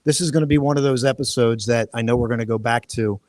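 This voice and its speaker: A man's voice: medium pitch, fairly even intonation, quick pace, a little mellow, dry recording. Rambling male podcast host, dry sound. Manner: loose, rambling delivery with restarts. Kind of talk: live-streamed podcast interview. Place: outdoor resort near waterfalls. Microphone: live-stream setup.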